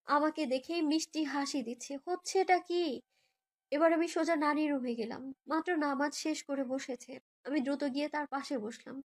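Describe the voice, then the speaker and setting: A woman's voice: high voice, fairly even intonation, moderate pace. A young woman narrates a romantic story in the first person, in an emotive, girlish way, as if living it. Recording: background music under voice.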